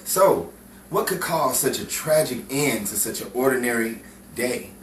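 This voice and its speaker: A man's voice: mid-range voice, expressive, a little slow. A man giving a scripted, dramatic introduction as narrator of a show. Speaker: show narrator and cast member. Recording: roomy, distant mic with faint noise.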